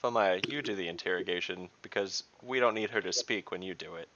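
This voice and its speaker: A young man playing a tabletop game on a video call, speaking slowly and deliberately with fillers. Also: deep voice, sharp tone. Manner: slow, deliberate speech with fillers. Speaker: player. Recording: dull video call audio.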